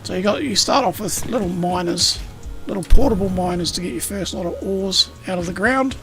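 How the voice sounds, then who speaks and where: Male streamer with a high, slightly croaky voice, talking through a game over clear background music. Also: a little fast. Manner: rambling, self-correcting, explanatory. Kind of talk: casual gameplay explanation.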